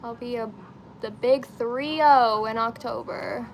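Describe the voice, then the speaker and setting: A woman's voice: fairly high pitch, fairly even intonation, takes it slowly, creaky, slight background noise, low-quality recording. A woman in her late twenties chatting casually and informally to viewers on a get-ready-with-me livestream, using slangy language. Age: twenty-nine. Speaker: beauty and lifestyle live streamer.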